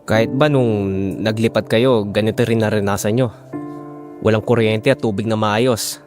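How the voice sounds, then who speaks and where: A man's voice: fairly low pitch, fairly even intonation, moderate pace, lightly acted. A man playing a worried, caring father in an audio drama, earnest and plaintive, with music under him. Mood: dismayed. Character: worried, caring family man, disappointed by his new home.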